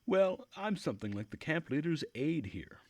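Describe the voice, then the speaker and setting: Man voicing a bright helpful camp girl, pitched up. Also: big pitch swings, moderate pace, dry recording. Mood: friendly, helpful. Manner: polite, caring, chatty. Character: friendly helpful young female camp leader's aide.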